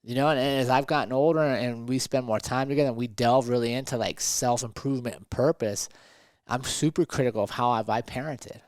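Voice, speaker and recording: A man's voice: medium pitch, brisk, dry recording. A man talking conversationally as a motivational podcast host and coach, emphatic and fond of rhetorical questions.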